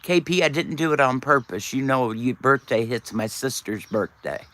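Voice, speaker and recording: A man's voice: medium pitch, normal speed, fairly bright tone. A man, chatting casually and teasingly with viewers on his live stream. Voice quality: raspy.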